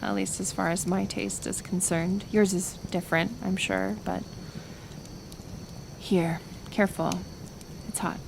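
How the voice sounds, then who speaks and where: A woman playing a kind, stubborn forest healer in an ASMR roleplay, speaking gently and hesitantly, caring but persistent. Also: mid-range voice, little pitch movement, unhurried, creaky, background noise. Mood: gentle, careful. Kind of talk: soft-spoken ASMR roleplay acting.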